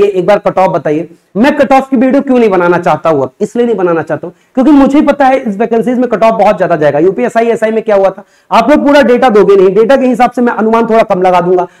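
A man's voice: high voice, a little mellow. A young man teaching students in an emphatic, conversational way, often asking and answering his own questions. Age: young adult. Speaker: exam coaching teacher. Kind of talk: explanatory lecture to students. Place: indoor teaching studio in front of a digital board.

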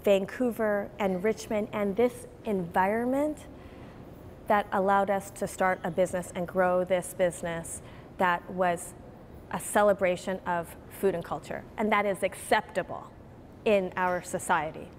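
A woman's voice: medium pitch, measured pace, slight background noise. A woman in her forties, a grocery chain executive, speaking earnestly and animatedly in a TV news interview inside a store.

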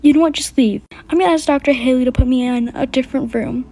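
Woman playing a sick girl: high, sulky, sarcastic, resentful. Homemade skit. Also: quick pace, dark tone. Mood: angry, bitter.

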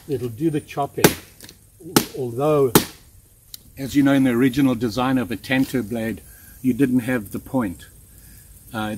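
A man in his sixties giving a relaxed, chatty hands-on knife review outdoors in the woods, with plenty of fillers and restarts. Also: mid-range voice, background noise. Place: outdoors in woods, kneeling in the undergrowth.

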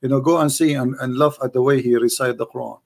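A man's voice: mid-range voice, fairly even intonation, fast talker, vocal fry. A man whose first language is Arabic, arguing emphatically in a call-in debate, repeating himself, with non-native grammar. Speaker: debate show host.

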